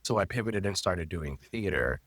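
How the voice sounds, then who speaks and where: Male guest, late 30s, native Haitian Creole speaker. Very low pitch, dry sound, expressive and conversational. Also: normal speed, no echo. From Florida, United States. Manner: expressive, personal, loose phrasing with frequent fillers. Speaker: guest, intimacy coordinator and teacher. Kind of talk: conversational interview storytelling.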